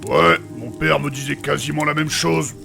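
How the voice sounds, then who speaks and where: A man playing a gruff, foul-mouthed brawler, blunt and mocking, in a fantasy audio drama. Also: on the high side, fairly even intonation, moderate pace, music in the background. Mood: gruff, sardonic. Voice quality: gravelly. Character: gruff, foul-mouthed, sarcastic male brawler raised among orcs. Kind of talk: French fantasy audio fiction acting.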